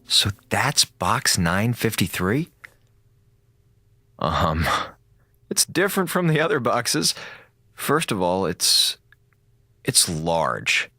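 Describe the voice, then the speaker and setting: An actor playing a laid-back, wisecracking space station officer in a radio drama, with casual, sardonic delivery. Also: male voice, lowish voice, very animated, slow, long pauses, sharp tone, no room sound, exaggerated voice. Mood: musing, curious. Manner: casual, sardonic, muttering asides.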